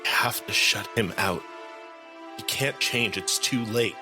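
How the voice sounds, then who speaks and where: A man playing a concerned, supportive young rebel in an audio drama, gentle and earnest. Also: on the low side, unhurried, close to an everyday voice. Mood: concerned, reassuring. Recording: voice under loud music.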